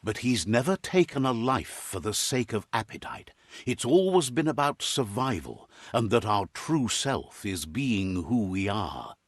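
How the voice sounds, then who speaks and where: Husky, expressive storyteller. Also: male voice, fairly low pitch, no room sound, clean studio sound. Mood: grave, ominous. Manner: measured, theatrical, expressive. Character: wry, wise male storyteller translating fable creatures' speech. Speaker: narrator. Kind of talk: scripted storybook narration of a video game.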